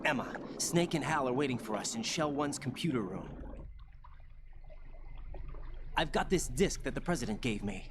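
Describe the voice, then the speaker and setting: A man's voice: medium pitch, lively intonation, fairly quick, lightly acted. An earnest young male special forces agent from a video game, played by an actor speaks in a questioning, sincere way. Faint noise and ambience sit behind the scripted lines. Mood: dutiful.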